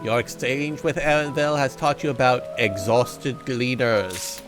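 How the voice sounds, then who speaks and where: A man's voice: medium pitch, steady tone, slow pace, over music. A man streaming a game, chatting casually and reading the dialogue aloud with joking asides. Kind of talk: casual let's-play commentary.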